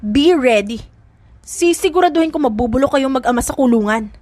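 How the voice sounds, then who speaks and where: A cold, commanding father from an audiobook drama, played by a woman. He is protective and stern, in a high, dry-sounding voice. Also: expressive, brisk, a little mellow, no echo. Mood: angry. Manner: cold, commanding, mixes in English.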